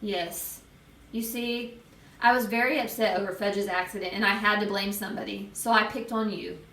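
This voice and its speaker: A woman voicing an emotional, doting but sharp mother, motherly and emphatic, in a storybook. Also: mid-range voice, steady tone, moderate pace, roomy sound, distant mic, natural voice, poor audio. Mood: apologetic.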